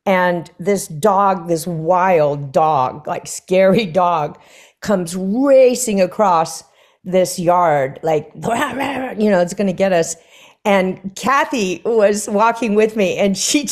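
An older woman, a singer, chatting over a video call from her living room and telling lively stories with many fillers. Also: mid-range voice. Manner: animated storyteller, many fillers. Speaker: guest singer. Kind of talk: casual conversation.

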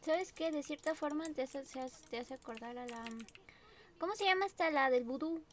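A woman's voice: high voice, moderate pace, a little hiss or hum. A young woman with a Peruvian Spanish accent, chatting casually and thinking aloud while she plays a mobile game. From Peru. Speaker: gamer. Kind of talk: casual gameplay commentary.

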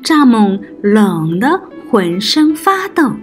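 A woman's voice: on the high side, big pitch swings, takes it slowly, faint music behind. A woman hosting a preschool storytime, narrating expressively and speaking directly to small children. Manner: addresses small children with questions and soft particles.

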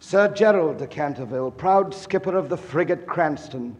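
Male actor as old noble English ghost, blustering, archaic, theatrical, raised pitch, reverberant hall, vintage radio drama. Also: lively intonation, normal speed, lightly acted. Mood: grand, mournful. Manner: archaic, declamatory, grandiose. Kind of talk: 1940s radio acting.

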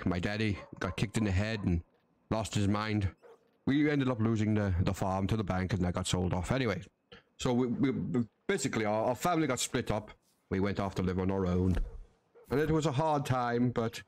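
A man's voice: lowish voice, natural voice. A man playing a doting, melodramatic frontier father who rambles and repeats himself as he tells his story. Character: doting, overwrought middle-aged frontier father. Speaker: roleplayer in a western game.

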